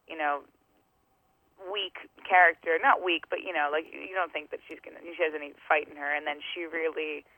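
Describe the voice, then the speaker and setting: A woman with a raspy voice, a bit strained, talks quickly and a little high for her husky tone. She rambles and restarts her thoughts as she chats. The sound is dry and thin, like a phone line. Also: dry recording. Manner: rambling, self-interrupting, laughs often. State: voice strained from screaming. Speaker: actress and singer. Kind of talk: casual interview chat.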